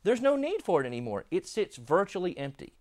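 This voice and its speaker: A man in his thirties, an economist, explaining in an interview in a quick, emphatic way. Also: high voice, wildly swooping pitch, a little mellow. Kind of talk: interview answers. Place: indoor studio set.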